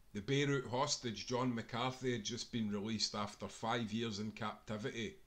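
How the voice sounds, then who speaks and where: Bright, forceful Scottish man reading aloud. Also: medium pitch. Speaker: author reading own book aloud. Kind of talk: scripted narration, audiobook reading of sports history.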